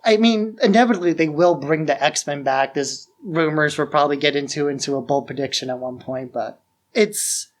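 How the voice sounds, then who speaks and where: A man's voice: a little high, moderate pace. A man co-hosting a podcast in casual, informal conversation, sharing firm opinions. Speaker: podcast co-host. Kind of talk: casual film discussion chat.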